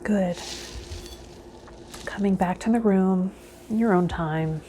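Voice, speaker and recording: A woman hosting a spiritual vlog, explaining in a measured, reflective, encouraging way, like a calm guide. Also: mid-range voice, slight background noise. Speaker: host of a tarot vlog. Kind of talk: calm guided explanation, part read, part informal.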